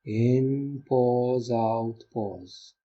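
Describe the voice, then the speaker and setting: A man leading a guided meditation as a teacher, giving gentle instructions in short phrases with pauses. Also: mid-range voice, fairly even intonation, dull audio.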